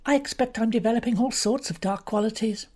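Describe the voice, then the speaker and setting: A man reading the part of an uneasy young aristocratic woman, giving quick, slightly flippant replies in a raised, breathy voice. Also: extremely high pitch, a little mellow, pitched above the performer's own voice. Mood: puzzled.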